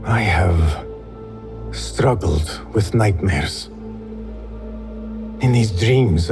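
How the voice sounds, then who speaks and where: A brooding young assassin in a game cutscene, earnest and guarded with quiet intensity. His voice sits low. A loud musical score plays behind him. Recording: loud score under dialogue.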